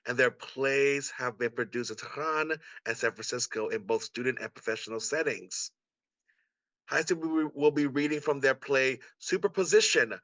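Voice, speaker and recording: A man's voice: mid-range voice, bright, forceful tone, no echo. A man hosting an online event in an effusive, encouraging manner. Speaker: event host. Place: indoors on a video call.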